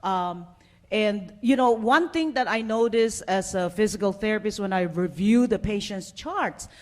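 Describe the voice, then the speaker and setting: A woman's voice: medium pitch, measured pace. A middle-aged woman from the Philippines, a physical therapist, telling her personal story to a conference audience in a conversational way, with frequent "uh" and "you know".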